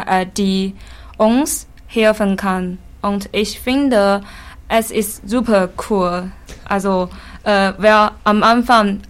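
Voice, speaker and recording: Slow Chinese-accented young woman. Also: medium pitch. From China. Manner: halting, many hesitation fillers. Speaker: exchange student. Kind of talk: interview answers in learner German.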